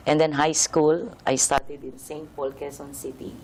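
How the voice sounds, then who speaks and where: Low-voiced Filipino woman telling stories. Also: level tone, normal speed, darkish tone, rough recording. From Philippines. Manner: chatty, self-interrupting storyteller. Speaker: guest. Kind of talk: casual interview chat.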